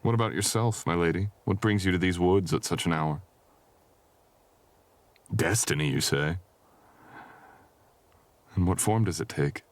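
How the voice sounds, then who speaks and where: A man playing a mysterious, courteous wandering traveler in a soft-spoken romantic fantasy audio roleplay, with old-fashioned, teasing phrasing. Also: sits low, unhurried, pauses often, dry recording. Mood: curious, gentle.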